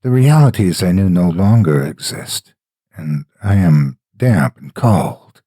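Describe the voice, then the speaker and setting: A man voicing a dead, educated vagrant in a horror story, speaking slowly and haltingly from beyond. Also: deep voice, a little mellow, husky, no room sound, exaggerated voice. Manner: slow, halting, hollow.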